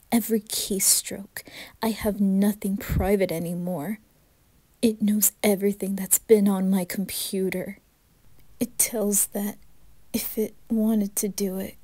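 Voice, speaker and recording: A woman performs a first-person creepypasta as its troubled narrator. Her medium-pitched voice is breathy and husky, with an intense, anguished delivery. The sound is dry, with little room on it. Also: warm tone, no room sound. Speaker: horror story narrator.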